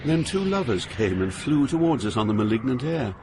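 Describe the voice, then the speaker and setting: A man's voice: medium pitch, very animated, a little fast. An actor playing an awed, troubled poet who narrates his journey through hell with earnest drama. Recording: noisy atmospheric background.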